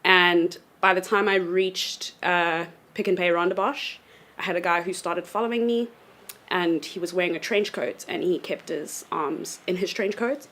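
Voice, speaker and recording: A woman's voice: on the low side, moderate pace, fairly bright tone. A woman in her twenties from South Africa, telling a story casually, with lots of ums and hesitant restarts. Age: 20s. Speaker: university student, panel guest.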